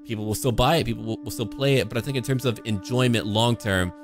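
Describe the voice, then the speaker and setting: A man's voice: medium pitch, fast. A man giving casual, speculative video game commentary in a conversational, enthusiastic way. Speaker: gaming video creator, commentator. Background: background music.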